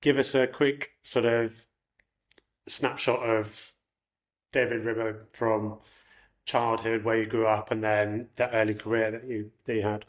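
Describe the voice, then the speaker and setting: Interviewer: man with a low, monotone voice, casual questioning. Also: measured pace. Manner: conversational, lots of "sort of". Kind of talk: interview conversation.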